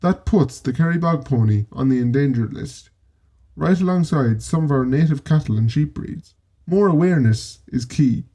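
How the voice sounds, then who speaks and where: A man with an Irish accent narrating a scripted history piece in a measured storytelling way, dropping in wry asides. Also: on the high side, lively intonation, mellow. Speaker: presenter.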